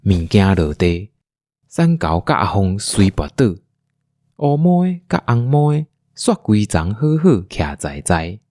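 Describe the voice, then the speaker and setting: A man with a Taiwanese accent narrating a scripted radio drama in an animated, dramatic storytelling manner. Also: fairly low pitch, a little slow, dry recording, clean studio sound.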